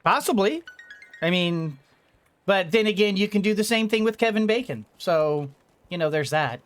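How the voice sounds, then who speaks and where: A man giving casual let's play commentary, rambling and thinking out loud as he goes. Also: sits high, lively intonation, moderate pace, faint music behind. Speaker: gaming video host.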